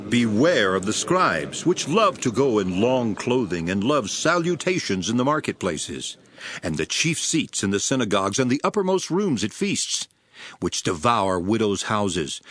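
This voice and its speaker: A man's voice: mid-range voice, big pitch swings, measured pace, fairly bright tone. An actor playing a grave, authoritative male teacher in a dramatized scripture reading, speaking solemnly and emphatically. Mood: stern warning.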